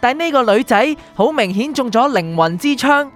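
Radio drama supporting role, female actor: formal, deferential memory doctor, highish voice, Hong Kong Cantonese accent. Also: expressive, moderate pace, natural voice. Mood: apologetic, deferential. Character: polite, evasive female memory-department doctor, deferential to superiors. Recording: music bed behind the voice.

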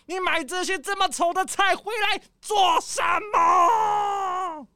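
A woman's voice: very high-pitched, takes it slowly, raised voice, background noise. A woman playing a spoiled, imperious male crocodile farm owner in a children's audio drama, whiny, drawing out words and dropping in English exclamations. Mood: exasperated, shouting.

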